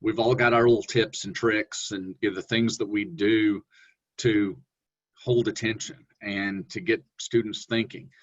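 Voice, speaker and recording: A man presenting a webinar on online teaching, talking in a relaxed, conversational way with fillers and self-corrections. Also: measured pace. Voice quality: deep voice. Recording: dull lo-fi webinar audio.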